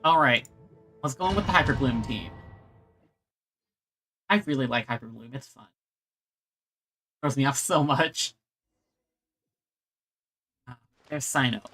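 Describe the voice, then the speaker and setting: A man's voice: mid-range voice, unhurried, pauses often, fairly bright tone. A man giving casual, rambling gameplay commentary on a livestream, talking to himself and his viewers. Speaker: video game livestreamer. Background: video game music.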